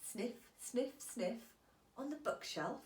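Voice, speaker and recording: A woman reading a children's storybook aloud as a teacher, in an expressive, deliberate storytelling manner. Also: on the high side, echoey room, a little hiss or hum.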